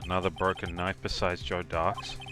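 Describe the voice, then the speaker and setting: A man's voice: deep voice, level tone, normal speed, sharp tone, soft background music. A man voicing an earnest young defense attorney in a live fan dub, laying out his case step by step. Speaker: fan voice actor.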